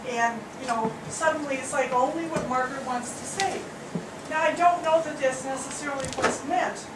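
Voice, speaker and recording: A woman's voice: high voice, moderate pace. A woman giving a spoken critique of a play in a group discussion. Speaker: audience member giving feedback on a play. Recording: roomy, distant, noisy live recording.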